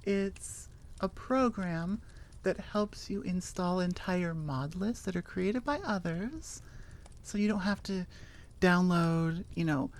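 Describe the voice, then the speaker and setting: Rambling, slow-paced woman, lowish voice. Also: darkish tone. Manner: informal, enthusiastic, digressive. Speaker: audio roleplay creator. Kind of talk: casual rambling outro chat.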